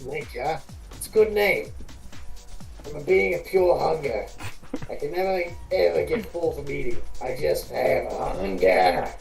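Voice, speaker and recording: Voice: medium pitch, lively intonation, unhurried, vocal fry, darkish tone, roomy sound, distant mic, soft background music, low-quality recording. A performer voicing a haughty, ever-starving spirit of pure hunger in a grandiose, boastful way for a fantasy story.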